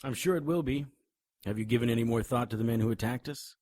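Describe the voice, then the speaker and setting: An actor playing a brooding, world-weary hard-boiled monster hunter in a noir audio drama, with a deliberate, clipped, dramatic delivery. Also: male voice, medium pitch, a little mellow, dry recording, clean studio sound, natural voice. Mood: skeptical, terse.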